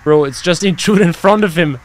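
Young man reacting, slightly high-pitched voice. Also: a little fast, slight background noise. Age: young adult. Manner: exclamatory, slangy, sweary. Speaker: YouTube reaction host. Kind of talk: casual reaction commentary. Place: small indoor gaming room at home. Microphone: close desk microphone on boom arm.

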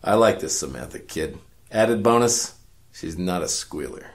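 Cocky, sardonic private eye, acted by a man, deep and deadpan, narrating a comic radio noir. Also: a little slow. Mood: wry, smug. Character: cocky, wry hardboiled investigator narrating his case.

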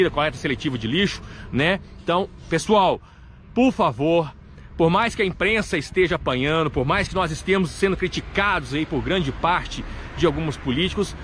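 A man's voice: on the high side, fairly bright tone. Earnest man reporting outdoors. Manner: earnest, repetitive, tag questions "né" and "tá". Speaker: local news reporter. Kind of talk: live roadside news update. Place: outdoors at night by a highway. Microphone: phone camera at arm's length. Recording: faint traffic noise outdoors.

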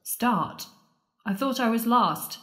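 A woman's voice: on the high side, expressive, takes it slowly, fairly bright tone. A woman playing a sardonic, frightened penitent at the world's end in an audio drama, babbling and joking wryly out of nerves. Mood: scared, wry. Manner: babbling, wry, joking nervously. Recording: echoey room.

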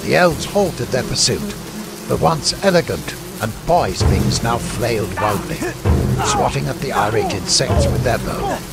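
A man's voice: medium pitch, wildly swooping pitch, unhurried, vocal fry. A man narrating a fantasy audiobook in a scripted, dramatic storytelling style. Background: music.

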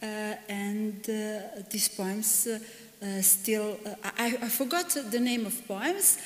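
A middle-aged woman from Serbia, a librarian, telling a short story at a podium in halting English with many hesitations. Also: medium pitch. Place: large conference hall stage. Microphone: podium microphone. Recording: echoey hall.

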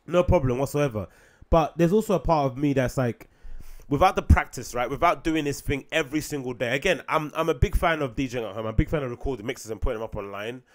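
A young man with a London accent and a slightly raspy voice chats casually to his listeners. He rambles, throws in slang and the odd swear word. He speaks close to a boom mic in a small home room. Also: fast. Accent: London English. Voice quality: raspy today. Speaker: podcast host and DJ. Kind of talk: casual solo podcast chat. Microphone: studio mic on boom arm close to mouth.

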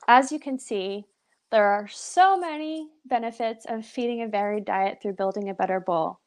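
A young woman presenting a live pet nutrition webinar over a video call, upbeat and encouraging, with lots of "so" and "awesome". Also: fairly high pitch. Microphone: webcam on a video call.

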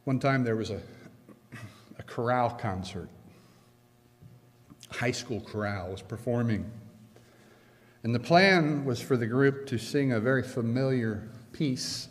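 An older man delivers a sermon from the pulpit in a slow, earnest, deliberate cadence, leaning on pauses. His mid-pitched voice is picked up by a stand microphone, and a long church-hall reverb trails his words. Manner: earnest, measured preaching with slow emphatic pauses. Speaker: preacher. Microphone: pulpit stand mic.

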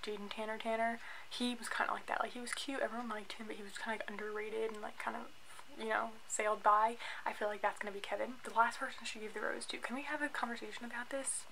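Creaky, bright-voiced young American woman vlogger. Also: fairly high pitch, quick pace, a little hiss or hum, low-quality recording. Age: 20s. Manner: opinionated, animated, filler-heavy with "like". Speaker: YouTube vlogger reviewing a reality show. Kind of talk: casual chatty vlog commentary. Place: small indoor room at home, by a bookshelf.

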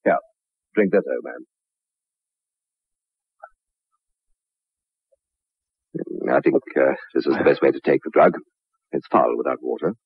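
Gravelly, soothing, scheming husband. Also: male voice, rumbling low, brisk, dry recording, full character voice. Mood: helpful, calm. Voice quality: gravelly, raspy. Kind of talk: 1960s radio drama acting. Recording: muffled narrow-band old radio recording.

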